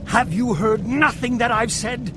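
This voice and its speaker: An actor playing a mysterious, commanding prophet in a video game, with theatrical, portentous and insistent delivery. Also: male voice, extremely high pitch, a little slow, over music. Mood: urgent, indignant. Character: urgent, commanding male prophet, mysterious and grandiose. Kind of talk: video game cinematic acting.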